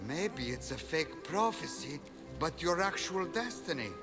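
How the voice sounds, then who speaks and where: A man's voice: a little high, expressive, unhurried, over music. A man playing a laid-back, rambling, cryptic character in a comic video game, drifting and noncommittal. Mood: musing.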